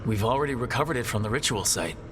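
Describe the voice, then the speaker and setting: An actor playing a young, self-doubting hero in a video game, earnest and plain-spoken. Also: male voice, medium pitch, expressive, fast, big-room sound, noisy background, lightly acted. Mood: determined, questioning.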